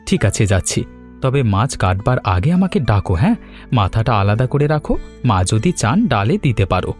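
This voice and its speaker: A man's voice: lowish voice, normal speed, faint music behind. A man playing a devoted, food-loving Bengali son in a cartoon, affectionate and contented in manner. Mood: contented, reassuring. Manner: affectionate, expressive. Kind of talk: animated moral story voice acting.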